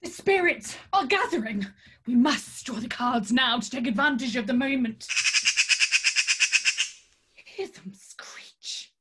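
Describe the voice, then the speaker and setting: A woman's voice: high voice, expressive, takes it slowly, pauses often, fairly bright tone, slight background noise, heavily acted. A woman acting an eccentric, grandiose tarot reader in a comic stage scene, with pompous mystical pronouncements. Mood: urgent, dramatic.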